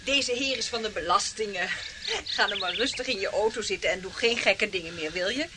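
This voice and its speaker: A woman's voice: mid-range voice, a little fast, heavy background noise, lightly acted. An actress playing a detective's anxious, admiring woman client, coaxing and affectionate, in a Dutch radio drama. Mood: soothing, coaxing.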